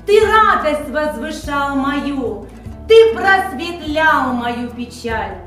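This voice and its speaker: A woman with a very high voice recites a poem in a slow, sing-song way. She stands well back from the mic in a very echoey room, and music plays behind her. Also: lively intonation, fairly bright tone, recorded from a distance. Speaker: kindergarten staff member. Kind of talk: poetry recitation over music.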